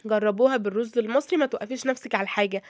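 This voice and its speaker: A woman with an Egyptian Arabic accent, hoarse from a cold, chatting through a home cooking tutorial and often checking in with her viewers. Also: a little high, very fast, darkish tone, no echo, clean studio sound. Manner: chatty, addresses viewers directly, frequent fillers and check-ins. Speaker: cooking vlogger.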